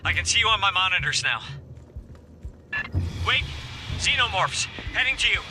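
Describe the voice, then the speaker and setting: An actor playing a jittery, talkative scientist in a video game, speaking in a nervous, hesitant, apologetic way. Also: male voice, sits high, big pitch swings, moderate pace, background noise. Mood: alarmed.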